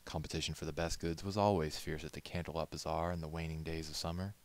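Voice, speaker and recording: A man reading a story aloud as scripted narration, in a measured, literary storytelling manner. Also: extremely low pitch, little pitch movement. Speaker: amateur audiobook reader.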